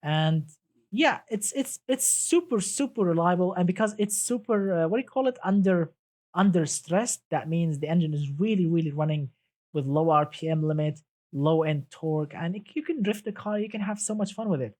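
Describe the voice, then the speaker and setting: A man's voice: sits high, moderate pace, darkish tone. Chatty man whose first language is Arabic. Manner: chatty, many restarts, addresses chat as "my friend". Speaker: streamer. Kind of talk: casual live-stream commentary.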